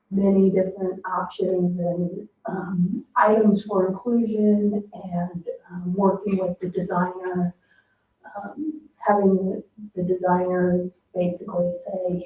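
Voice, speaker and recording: An American woman explaining things hesitantly as a town staff member presenting at a board meeting. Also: fairly low pitch. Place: indoor municipal meeting room. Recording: echoey room, distant dull microphone, faint noise.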